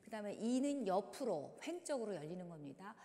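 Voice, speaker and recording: Hoarse woman leading movement in a church. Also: mid-range voice, big pitch swings, mellow. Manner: slow, instructive, encouraging. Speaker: dance leader in a church service. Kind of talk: guiding a congregation through movement. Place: large church sanctuary. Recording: long reverb in a large hall.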